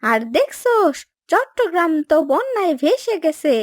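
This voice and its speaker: A woman plays a kind-hearted young cartoon boy with a Bangladeshi accent. The voice is very high and lively, emphatic and childlike, recorded close and dry. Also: normal speed, no room sound. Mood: eager. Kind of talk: Bengali cartoon dubbing.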